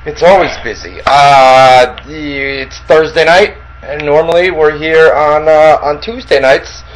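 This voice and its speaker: A man's voice: fairly high pitch, takes it slowly, a crowd in the background, rough recording. A man in his thirties co-hosting a casual wrestling radio show, rambling and often restarting his sentences. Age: 30s. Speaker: podcast co-host. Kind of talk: casual chat.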